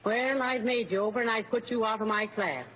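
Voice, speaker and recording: An actress playing a stubborn, long-suffering middle-aged wife in an old-time radio comedy, plain and resigned. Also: female voice, fairly high pitch, fairly quick, breathy edge. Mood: resigned, hurt. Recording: muffled old radio recording, faint noise.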